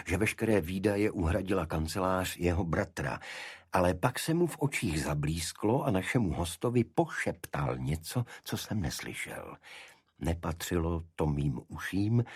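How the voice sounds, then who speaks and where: A man reading a detective story as an audiobook narrator, in a scripted literary style with a husky voice. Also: deep voice, darkish tone, dry recording, clean studio sound.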